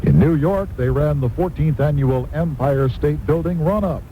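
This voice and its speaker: A man working as a radio news anchor, reading a scripted newscast. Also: moderate pace. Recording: noisy old radio aircheck tape, low rumble.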